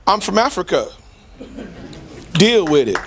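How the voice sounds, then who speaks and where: A middle-aged man giving an impassioned, preacher-like public speech in a deliberate, rhetorical manner with emphatic repetition. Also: high voice, very animated, heavy background noise. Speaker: community speaker.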